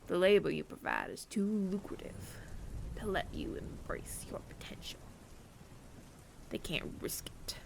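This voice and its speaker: A man playing a weary, remorseful bounty hunter in an audio roleplay, speaking in hushed, breathy, deliberate phrases with heavy pauses. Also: high voice, huge pitch swings, in a whisper, exaggerated voice. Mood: somber, bitter. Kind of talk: dramatic ASMR audio roleplay monologue. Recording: clear rain noise behind the voice. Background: rain.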